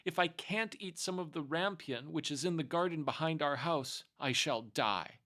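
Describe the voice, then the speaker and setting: A man's voice: fairly high pitch, moderate pace, bright, forceful tone, voice pushed up. A man voicing a pining wife in a storybook, plaintive and dramatic as she craves something desperately.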